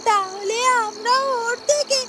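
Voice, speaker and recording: A woman's voice: extremely high pitch, a little slow. A woman voicing a jealous, greedy young stepsister in a cartoon, in a whiny, demanding, exclaiming manner. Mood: scheming, excited. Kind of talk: fairy tale cartoon acting.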